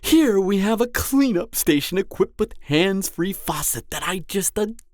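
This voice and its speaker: A man's voice: high voice, animated, dry recording, clean studio sound. Man playing a theatrical, swooping cannibal killer. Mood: proud, menacing. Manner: theatrical, drawn-out phrasing with frequent chuckling. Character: gleeful, gloating cannibal serial killer man, refined and theatrical. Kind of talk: roleplay audio drama monologue to the listener.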